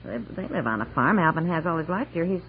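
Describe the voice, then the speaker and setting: An actress playing a squeamish, tender-hearted American housewife in a 1960s radio comedy, fussing and pleading in a conversational way. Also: female voice, low voice, expressive, fast. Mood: explaining, uneasy. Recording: muffled old radio recording, faint hum.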